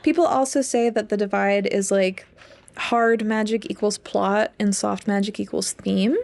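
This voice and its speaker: A woman's voice: mid-range voice, steady tone, measured pace, creaky, a little mellow. A young woman co-hosting a podcast, talking in a casual, hedging, informal way with the odd swear word. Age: young adult. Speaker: podcast co-host and PhD student.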